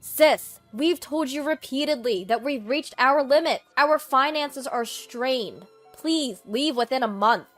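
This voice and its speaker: Stern, fed-up husband played by a woman, high-pitched, emphatic and confrontational story dialogue over light music. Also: measured pace, few pauses, a bit bright.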